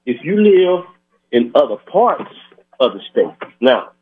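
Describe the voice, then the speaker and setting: A hoarse man hosting a community radio talk show, earnestly urging listeners with many fillers. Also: medium pitch, very animated, slow pace, faint music behind. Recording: tinny phone-like radio audio.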